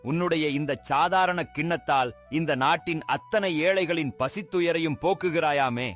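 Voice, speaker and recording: A grandiose, greedy king acted by a man from an animated fable. His voice sits a little high and gives commands imperiously, quick to anger. Light music runs underneath. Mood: lofty, demanding. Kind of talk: animated moral story acting.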